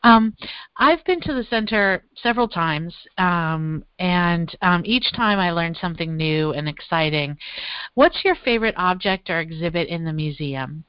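Smooth-voiced young woman interviewer. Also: on the low side, expressive, dry recording, highs cut off. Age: young adult. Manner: polite, halting. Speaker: museum studies student interviewer. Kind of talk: reading prepared interview questions.

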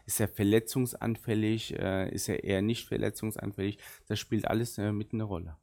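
A middle-aged man with a notably low, deep voice, talking casually in a podcast interview. He grew up in Germany, though Arabic is his first language. He takes his time and thinks through each answer. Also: fairly even intonation, a little fast, gravelly, noisy background. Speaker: sporting director of a football club.

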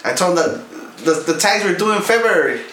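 A man's voice: high-pitched, animated, fast talker, fairly bright tone. A man in his thirties telling stories casually among friends, cursing and defending himself. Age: 30s. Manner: profane storytelling, defensive. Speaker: podcast co-host. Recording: echoey room with faint noise.

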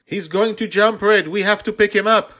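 Grown man doing a brave excitable little girl, raised pitch, bold and eager, story adventure voice. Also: normal speed. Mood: gleeful, excited. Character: fearless, excitable five-year-old girl adventurer. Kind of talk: audio story character voice.

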